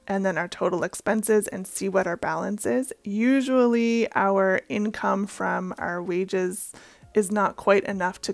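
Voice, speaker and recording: A young woman from Canada talks through her monthly budget in a friendly, chatty way, dropping in soft ums as she writes. Her voice is gravelly and a little hoarse today, with a raspy edge. The sound is dry and close, with no room echo. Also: medium pitch. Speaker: budgeting channel host. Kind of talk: casual talk-through while working on paper.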